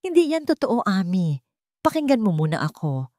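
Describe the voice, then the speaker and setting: A woman voicing an anxious businessman fiancé in a story, pleading with strained calm. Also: medium pitch, moderate pace, no room sound, studio quality, natural voice. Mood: pleading, panicked.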